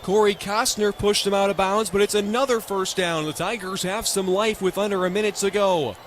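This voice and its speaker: A young American man calling live football on the radio as play-by-play announcer, in punchy, clipped, excitable phrases. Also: sits high, normal speed. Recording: live stadium ambience noise.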